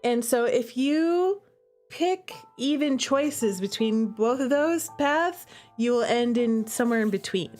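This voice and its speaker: Female game streamer, high voice, chatty and theatrical, talking straight to her audience. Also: slow pace, faint music behind. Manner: animated, theatrical, acts out reactions; conversational asides to chat. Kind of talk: casual livestream commentary.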